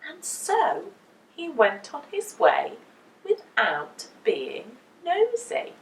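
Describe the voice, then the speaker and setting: Animated woman reading a children's story, high-pitched. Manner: animated, deliberate, dramatic emphasis. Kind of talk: expressive storytime narration for children. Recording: roomy echo, distant microphone.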